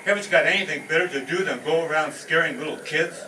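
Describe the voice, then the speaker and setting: Noisy distant recording, man acting a belligerent father, slightly high pitch, emphatic, combative, old-time radio comedy. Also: quick pace, echoey room, close to an everyday voice. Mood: challenging. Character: belligerent, protective neighbourhood father spoiling for a fight.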